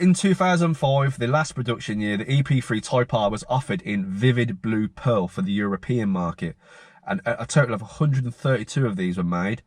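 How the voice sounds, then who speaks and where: A man with a cold hosts a relaxed car video, his voice croaky and a little worn. He rambles in an easy, informal way, often restarting his sentences and leaning on fillers. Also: mid-range voice, dry recording. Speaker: car channel host. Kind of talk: casual informative car vlog.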